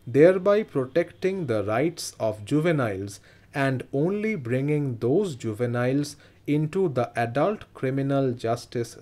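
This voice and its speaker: Indian English male reader, mid pitch, deliberate phrase-by-phrase delivery, very slow. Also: a little mellow. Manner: measured, evenly spaced phrases for transcription. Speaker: stenography dictation reader. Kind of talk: slow dictation of a parliamentary speech.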